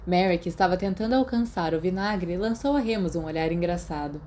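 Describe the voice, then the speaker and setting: A woman's voice: lowish voice, brisk, warm tone, a little hiss or hum. A woman with a Brazilian accent reading scripted story narration as an audiobook narrator.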